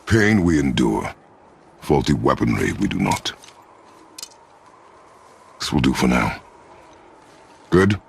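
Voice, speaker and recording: A brooding warrior father in a fantasy game. His voice is extremely deep and full of gravel. He gives short, stern orders with gruff authority. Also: big pitch swings, slow, pauses often, a little hiss or hum, full character voice. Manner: terse, clipped commands.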